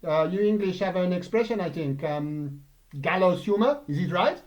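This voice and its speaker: Man doing a genial, halting shopkeeper. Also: high-pitched, expressive, highs cut off, voice pushed up. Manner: halting, searching for English words. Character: genial Spanish male delicatessen owner.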